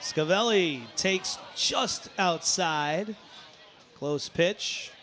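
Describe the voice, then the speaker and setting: A man doing live baseball play-by-play commentary as the announcer, who gets excitable and shouts on big plays. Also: high voice, extremely slow, a little hiss or hum. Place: sports broadcast at a baseball game.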